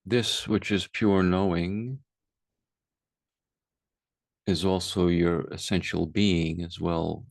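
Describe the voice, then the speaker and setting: A man guiding a meditation as a teacher, speaking calmly and unhurriedly with long pauses. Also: sits low, vocal fry, dry recording, studio quality. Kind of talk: guided meditation, unscripted.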